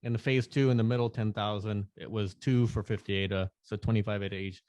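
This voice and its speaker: A man talking as a podcast guest in casual chat, relaxed, laughing often and restarting sentences. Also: on the low side, fast talker, dry recording. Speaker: podcast guest, NFT artist.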